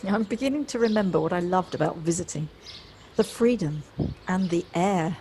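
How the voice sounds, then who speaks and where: A woman's voice: on the low side, lively intonation, normal speed, dark tone, natural voice. An actress playing a polite, wistful city woman in an audio play, reflective and a little hesitant. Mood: wistful wonder. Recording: home device recording, light background noise.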